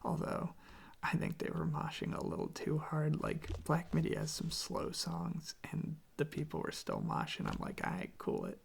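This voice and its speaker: An ASMR creator speaking very softly and casually into a close microphone, with a breathy, creaky voice and a meandering, quietly enthusiastic way of talking. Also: medium pitch, huge pitch swings, normal speed. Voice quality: whispery, breathy with creaky fry. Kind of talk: soft-spoken ASMR, rambling music talk.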